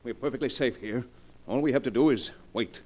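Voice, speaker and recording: An actor playing a domineering wealthy husband on the run, commanding and melodramatic, in 1940s radio drama style. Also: male voice, mid-range voice, brisk, darkish tone, soft background music, lightly acted. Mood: commanding, irritated. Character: domineering, hunted wealthy husband, snapping orders while in fear. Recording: noisy old 1940s radio recording with clicks.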